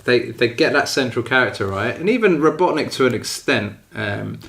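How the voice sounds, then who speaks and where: A man with a mid-pitched voice chats as a podcast host. He is opinionated and conversational, often tagging his points with little questions that seek agreement. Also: expressive, moderate pace, vocal fry, fairly bright tone. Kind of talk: casual film discussion.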